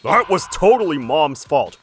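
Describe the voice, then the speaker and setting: A man's voice: fairly high pitch, sing-song, measured pace. Young manga husband, played by a man: resentful, blunt, grumbling, music prominent underneath. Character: young husband resentful of his nagging mother. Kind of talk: manga dub dialogue.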